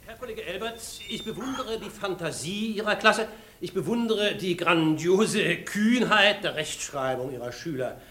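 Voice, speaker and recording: An actor playing a pompous, sarcastic school inspector in a radio play, mock-courteous and theatrical, in an echoing room. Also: male voice, high-pitched, animated, normal speed, sharp tone, slight background noise. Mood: sarcastic, menacing. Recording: echoey, reverberant room.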